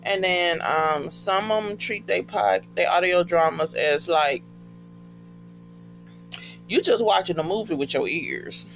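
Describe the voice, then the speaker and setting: An American man chatting casually in a rambling, slangy, profane and excitable way, like a fan telling friends a story. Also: high voice, takes it slowly, creaky. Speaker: podcast fan reviewing favourite shows. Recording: dull lo-fi audio with music behind.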